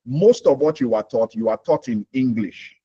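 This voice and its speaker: A man's voice: mid-range voice, moderate pace, warm tone, husky, muffled recording. A Nigerian man hosting a live career Q&A webinar, explaining with homely examples and checking that listeners follow. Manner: explains with homely examples, repeats points, asks "you understand?". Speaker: webinar host.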